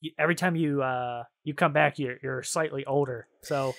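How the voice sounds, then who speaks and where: A man's voice: mid-range voice, fairly even intonation, moderate pace, gravelly, fairly bright tone. A man co-hosting a podcast, chatting casually in a rambling, slangy, enthusiastic way about games and shows. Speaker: podcast co-host.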